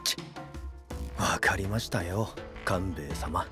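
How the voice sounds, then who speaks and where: An actor playing a lazy, sullen, slangy young warrior in an anime voice drama. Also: male voice, low-pitched, slow, music in the background. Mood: grumbling. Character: lazy, grumbling young delinquent-style warrior.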